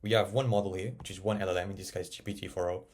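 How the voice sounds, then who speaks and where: A man narrating a casual software tutorial, explaining and thinking aloud as he works. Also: deep voice, fairly even intonation, very fast, a little hiss or hum. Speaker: tech tutorial presenter.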